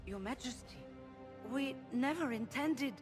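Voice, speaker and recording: An actress playing a proud, beleaguered leader of rebel mages in a fantasy game, formal and earnest. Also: female voice, high-pitched, lively intonation, a little slow, soft background music. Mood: pleading.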